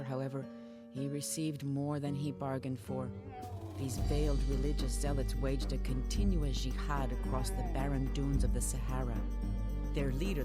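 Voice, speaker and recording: A narrator telling a historical tale for a video game in a formal, measured, dramatic storytelling manner. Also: mid-range voice, natural voice.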